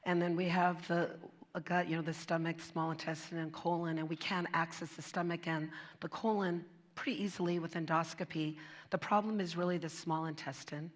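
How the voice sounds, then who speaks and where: A hoarse woman on a panel, explaining clinical research in a matter-of-fact way. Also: lowish voice, fairly even intonation, a little fast.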